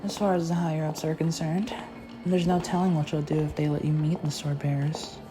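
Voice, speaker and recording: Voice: mid-range voice, steady tone, a little fast, natural voice, rough recording. This is a strict official from an anime world, formal and cautionary in every line. He speaks firmly in a middling register. A fan dubber plays him, with faint music in the background. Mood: stern. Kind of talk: anime fan dub acting.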